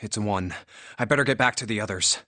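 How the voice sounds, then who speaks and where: A man's voice: lowish voice, quick pace, over loud music, lightly acted. A man voicing an earnest, easily baffled young hero in an anime-style game.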